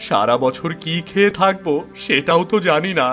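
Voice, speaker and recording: Man acting a higher-voiced desperate poor farmer. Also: expressive, moderate pace, sharp tone, soft background music. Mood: despairing. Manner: plaintive, protesting. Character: poor, desperate young male farmer pleading helplessly. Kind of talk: animated folk tale acting.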